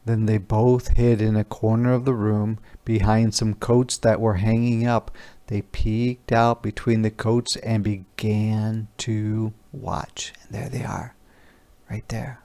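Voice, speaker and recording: A man reading a picture book aloud as a teacher would to young children, expressive, explaining and questioning. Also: fairly low pitch, takes it slowly.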